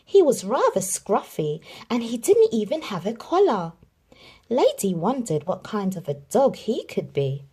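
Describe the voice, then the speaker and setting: A woman reading a children's picture book aloud, telling the story expressively with dramatic pauses. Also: fairly high pitch, sing-song, a little mellow, husky. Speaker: narrator.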